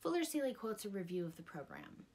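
A woman's voice: medium pitch, big pitch swings, quick pace, a little mellow. Middle-aged woman narrating from a script. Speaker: video essay host.

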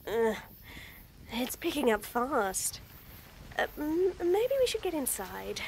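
A young woman voicing a shy, nervous tomboy friend in a romantic roleplay audio, stammering, giggling and speaking softly. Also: sits high, very animated, slow, slight background noise. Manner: stammering, giggly, soft-spoken. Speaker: voice actor.

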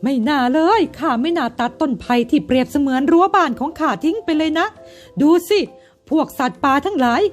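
A woman's voice: fairly quick, soft background music, close to an everyday voice. A woman voicing a spoiled young man, the garden owner's son, in a haughty exclaiming voice in a bedtime storybook. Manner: haughty, exclaiming, high-pitched.

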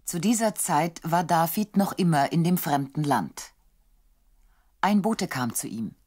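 A woman narrating a scripted Bible story in a composed, measured way. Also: sits low, animated, a little mellow, faint music behind.

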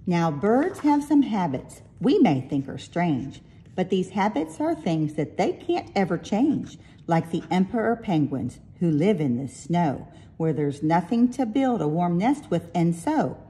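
A woman reading a rhyming picture book aloud with expressive, deliberate delivery. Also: lowish voice. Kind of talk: storybook read-aloud in rhyming verse.

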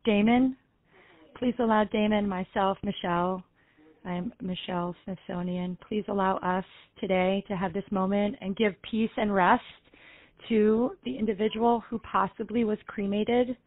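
Flustered, halting mother played by a woman. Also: mid-range voice, fairly even intonation, phone-quality sound, lightly acted. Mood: earnest, solemn. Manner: hesitant, halting, pleading, earnest. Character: flustered, pious, anxious mother seeking advice. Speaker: prank caller on a comedy show. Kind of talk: improvised prank phone call.

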